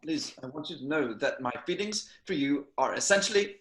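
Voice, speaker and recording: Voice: mid-range voice, expressive, a little fast, fairly bright tone, natural voice. An amateur performer reading the part of an indignant, priggish man who argues insistently in a film script table read. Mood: defensive. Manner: insistent, argumentative. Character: indignant, priggish man, defensive lecturer under pressure.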